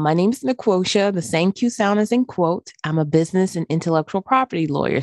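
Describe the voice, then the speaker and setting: A woman from the United States, a business lawyer, giving measured answers as a podcast guest. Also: sits low, a little mellow, dry recording, clean studio sound. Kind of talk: interview answers.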